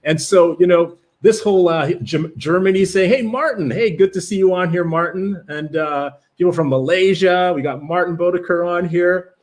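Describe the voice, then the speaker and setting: A man's voice: high voice, normal speed, hardly any pauses. A man with a Canadian accent, born in Jamaica, hosting a live webinar, talking with enthusiasm and many fillers while greeting viewers from the chat. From Jamaica, raised in Canada. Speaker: livestream presenter.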